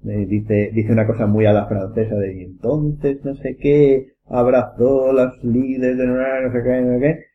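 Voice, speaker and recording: A man with a Castilian Spanish accent explaining his opinions in a casual group discussion. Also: lowish voice. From Spain. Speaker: podcast host. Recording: muffled audio with faint hum and noise.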